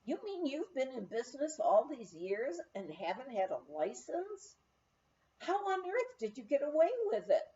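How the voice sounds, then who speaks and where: A woman reading as motherly older lodge manager, animated. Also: sits high, measured pace, highs cut off, slight background noise, voice pushed up. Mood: astounded. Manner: assured, practical, advising. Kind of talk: storybook-style character reading.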